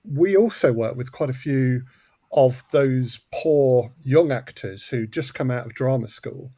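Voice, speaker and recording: A man's voice: mid-range voice, measured pace, mellow, no echo. A man who makes audio drama, talking casually as an interview guest in a thoughtful, hesitant way, often repeating words.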